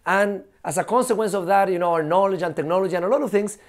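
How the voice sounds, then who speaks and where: Animated man with a high voice. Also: fast. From Chile. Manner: animated, laughing, frequent fillers and swearing. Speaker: guest, professor. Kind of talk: casual podcast conversation.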